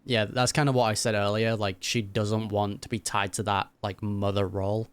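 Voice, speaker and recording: A man's voice: fairly low pitch, little pitch movement, normal speed, smooth, no echo. A man giving casual, musing commentary as he reacts to a game, speaking informally. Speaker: gaming reaction YouTuber.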